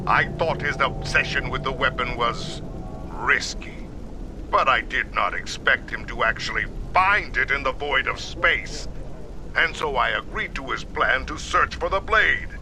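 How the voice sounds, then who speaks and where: An actor playing a stern, commanding Klingon chancellor in a video game, grave and dramatic, with faint noise behind. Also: male voice, medium pitch, expressive, unhurried.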